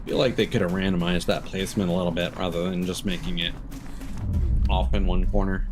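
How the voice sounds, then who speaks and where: A man's voice: low voice, level tone, brisk. A man giving casual live gameplay commentary, thinking aloud in fragments. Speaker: game streamer. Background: game music.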